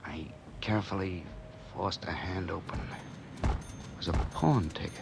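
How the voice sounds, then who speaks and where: A man acting a wary, hardboiled man on the run in an old radio noir drama, narrating and talking in terse, deadpan slang. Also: low voice, moderate pace, gravelly, background noise. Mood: uneasy narration. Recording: old time radio recording with music behind.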